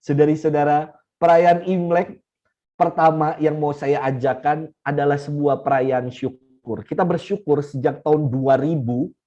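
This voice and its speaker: Slow-speaking Indonesian priest, mellow voice. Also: male voice, on the high side, steady tone. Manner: unhurried, repeats key phrases for emphasis, chuckles. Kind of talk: teaching talk to a congregation. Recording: slightly dull lo-fi recording.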